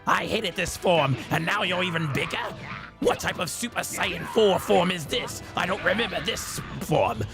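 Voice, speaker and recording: A man's voice: high voice, normal speed, vocal fry, voice pushed up. A man voicing a vengeful, mocking alien parasite villain in a theatrical, cackling video game style. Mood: gloating, mocking. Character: gloating vengeful alien parasite villain, sneering at monkey foes. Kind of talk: live improvised video game villain dubbing. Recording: loud game music behind.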